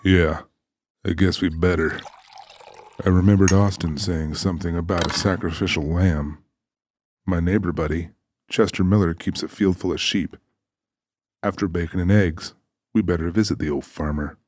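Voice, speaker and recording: A man playing a calm, protective tough guy with a tender side, speaking steadily in an audiobook drama. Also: low voice, moderate pace, pauses often, a little hiss or hum, natural voice. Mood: steady.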